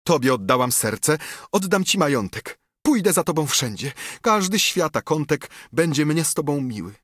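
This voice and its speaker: A man voicing a passionate, theatrical noblewoman who has been jilted, speaking with melodramatic, shrill outbursts. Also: sing-song, moderate pace, fairly bright tone, breathy edge, no room sound, clean studio sound, heavily acted. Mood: pleading.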